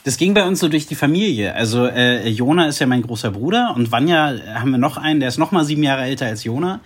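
A man's voice: mid-range voice, expressive, fast talker, breathy edge. A man, a guest voice actor, telling anecdotes in an informal, searching way. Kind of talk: casual chat, anecdotes.

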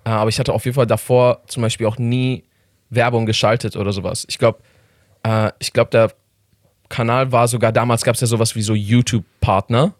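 A man with a slight Iranian accent, a filmmaker guest in a casual interview who talks haltingly, with many fillers and frequent laughs. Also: medium pitch, fairly even intonation, no room sound, studio quality. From Iran.

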